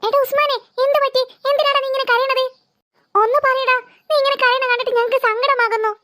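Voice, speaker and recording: A woman's voice: extremely high pitch, steady tone, fairly quick, pushed and bright. A woman voicing a caring child friend in a children's cartoon, pleading and insistent. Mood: worried, comforting.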